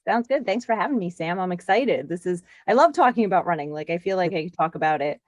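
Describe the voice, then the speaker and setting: A woman in her forties from Connecticut, chatting as a podcast guest in a lively, talkative way with frequent laughs and filler words. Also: on the low side, fast talker, no room sound, highs cut off. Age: 40s. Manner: chatty, animated, laughs often, lots of "like". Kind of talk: casual interview chat.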